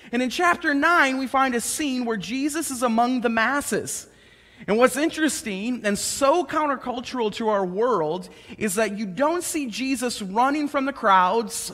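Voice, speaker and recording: A man in his thirties preaching a sermon on a church stage, conversational and animated as he tells personal stories. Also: very high-pitched. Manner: conversational and animated, gesturing while pacing the stage. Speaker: pastor. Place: church stage, large indoor room. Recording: reverberant church hall.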